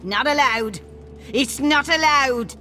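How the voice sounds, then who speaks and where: A woman's voice: high-pitched, slow pace, pushed and bright, slight background noise, full character voice. A woman playing a protective, suspicious old village granny in a fantasy video game, muttering and scolding in rustic dialect. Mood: scolding, wary. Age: older. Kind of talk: video game character acting.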